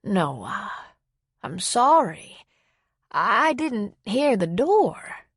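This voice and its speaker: A woman voicing a gentle, forgetful, frail old woman who speaks in a slow, halting, plaintive way for an audiobook story. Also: medium pitch, very animated, slightly rough, dry recording, studio quality, full character voice. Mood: apologetic, confused.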